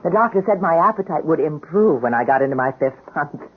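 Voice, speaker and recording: An actress playing a police sergeant's loyal, outspoken wife in a radio drama, emotional, blunt and tender. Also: female voice, low-pitched, lively intonation, fast, slightly rough. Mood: teasing, affectionate. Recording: muffled narrow-band radio drama recording.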